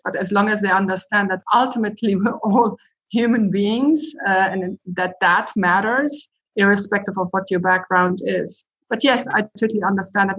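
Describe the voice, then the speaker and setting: A middle-aged woman, a university president, speaking in a measured, thoughtful way during an interview, often pausing on "sort of" and "uh". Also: medium pitch, fairly bright tone, low-quality recording. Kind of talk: reflective interview talk.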